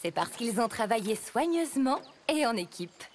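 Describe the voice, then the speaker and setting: A woman's voice: a little high, sing-song, normal speed. A woman voicing a friendly, careful scientist truck who instructs youngsters in a children's cartoon, over music. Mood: instructive. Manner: instructive, clearly addressing children. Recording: music behind the voice.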